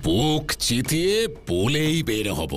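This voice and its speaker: A man's voice: mid-range voice, very animated, slow, faint music behind. An actor playing a scheming fake holy man and greedy snake smuggler in a kids' cartoon. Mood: smug, crafty. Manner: crafty, boastful, stammers when cornered. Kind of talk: animated kids' cartoon acting.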